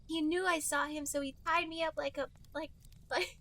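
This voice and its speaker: A very high-pitched, distressed cartoon hedgehog girl, acted by a woman in an amateur reading. The delivery is theatrical and slightly halting, and the voice sounds a little distant from the mic. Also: steady tone, pushed and bright. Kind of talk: amateur dramatic reading of fan fiction.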